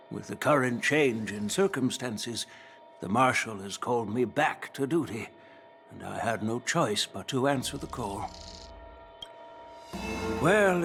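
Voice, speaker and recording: An actor voicing an elderly retired male general who is easygoing and jovial. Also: medium pitch. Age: older. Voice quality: relaxed, genial. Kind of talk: video game voice acting.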